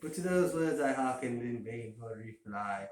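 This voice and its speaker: A man's voice: mid-range voice, animated, normal speed. Man reading gothic horror in echoey room. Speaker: amateur story reader. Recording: roomy echo, faint hiss.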